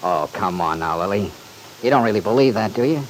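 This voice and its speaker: An actor playing an easygoing, thoughtful young man in an old-time radio soap opera, folksy, earnest and gently teasing. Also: on the low side, lively intonation, a little fast, close to an everyday voice, low-quality recording. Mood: teasing, challenging. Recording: hissy vintage radio recording.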